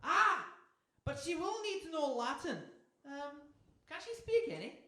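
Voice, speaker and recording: High, cheeky Scottish seagull. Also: female voice, animated, measured pace, sharp tone, heavily acted. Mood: incredulous. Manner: teasing, hammy, drawling fillers. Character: cheeky Scottish seagull, blunt joking sidekick. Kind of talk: live radio theatre comedy acting. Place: on stage in a hall before an audience. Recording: echoey live hall, faint noise.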